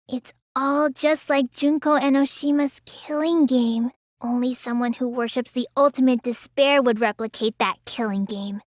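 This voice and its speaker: A woman's voice: a little high, dry recording, studio quality, natural voice. A woman voicing a sluggish, stubborn, gullible teenage girl magician in an English video game dub, with a lazy, childish drawl that turns whiny. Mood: subdued, serious.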